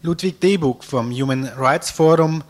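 Slightly high-voiced male radio reporter. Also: a little slow, darkish tone, a little hiss or hum. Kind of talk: scripted news report narration.

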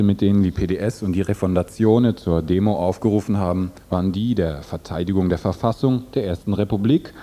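A man with a very low, heavily muted and mellow voice presents a radio news report. He reads prepared translations of interview statements in a scripted style.

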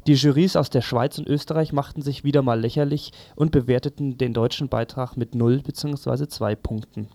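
A man's voice: medium pitch, vocal fry, darkish tone, no echo. A man presenting a scripted, satirical press review on the radio in a sardonic manner.

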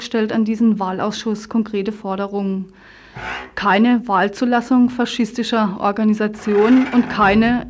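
A woman's voice: medium pitch, a little mellow. A woman reading scripted radio news and commentary as a presenter, with a pointed, ironic delivery.

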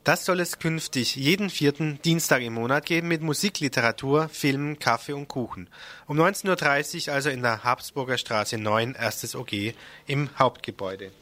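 A man on local radio reading out event listings in a relaxed, informal way. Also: mid-range voice, normal speed, no echo. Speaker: radio presenter.

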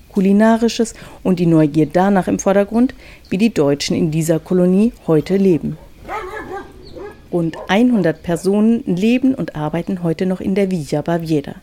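The low, velvety woman's voice that carries a radio documentary from one interview clip to the next. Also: dark tone. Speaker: radio reporter and narrator. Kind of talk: scripted documentary narration.

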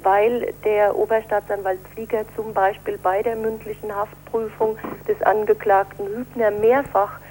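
A woman speaking as a defence lawyer in an interview, explaining things in a measured, formal legal way. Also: medium pitch, flat delivery, a little mellow, slight background noise, rough recording. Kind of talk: spoken interview answers.

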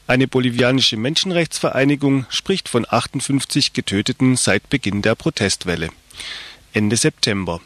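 Guy reading scripted radio news, medium pitch, dry booth sound. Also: normal speed, a bit bright, no echo.

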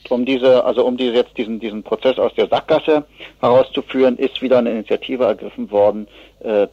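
A man giving spontaneous political analysis as an expert interview guest, speaking haltingly with many filled pauses and rephrasings. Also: mid-range voice, fairly even intonation, slightly rough, no echo. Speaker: expert guest from an advocacy association. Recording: dull, lo-fi radio interview sound.

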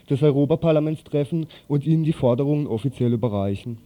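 A man reading a radio news script in a halting way, sometimes stumbling over words. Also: on the high side, mellow.

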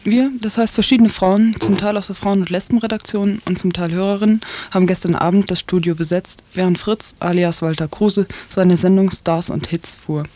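A woman's voice: medium pitch, steady tone, normal speed, hardly any pauses, dark tone. A woman reading out a prepared activist statement in a firm, accusatory manner. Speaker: activist spokesperson.

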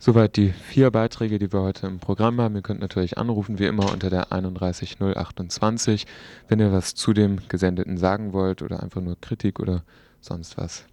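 A man's voice: deep voice, flat delivery, a little fast, mellow. A man hosting a radio news programme, announcing items in an informal way.

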